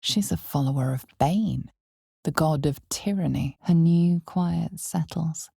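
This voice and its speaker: A woman performing a solemn, ominous narrator for a dark fantasy game, with a husky, gravelly voice and a measured, deliberate delivery. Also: no room sound, clean studio sound, full character voice. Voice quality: husky, breathy, gravelly low voice. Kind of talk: scripted dramatic fantasy game narration in second person.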